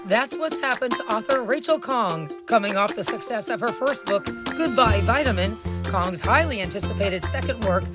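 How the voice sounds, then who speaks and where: A woman reporter narrating a feature story in a scripted, measured delivery. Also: on the high side, expressive. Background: music.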